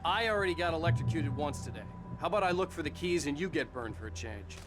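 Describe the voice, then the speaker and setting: A man's voice: a little high, lively intonation, fast, natural voice. A man playing a sardonic, embattled writer on the run in a video game, with a dry, sarcastic manner. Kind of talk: video game dramatic acting. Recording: loud game music behind the voice.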